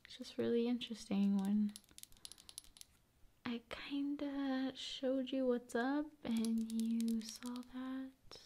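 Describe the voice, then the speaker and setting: A woman hosting an ASMR video, talking in an unhurried, hushed, conversational way that moves between soft speech and whispering. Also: on the high side, fairly even intonation, slight background noise.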